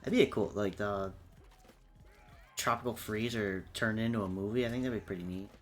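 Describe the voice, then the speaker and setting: A man's voice: lowish voice, fairly quick, soft background music. A man chatting informally and with some swearing on a live-streamed panel about entertainment. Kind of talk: casual group chat about games and films.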